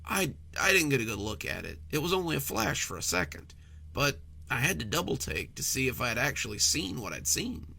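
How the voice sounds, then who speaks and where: Man narrating horror, lively and gravelly. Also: medium pitch, brisk. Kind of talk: scripted first-person horror narration.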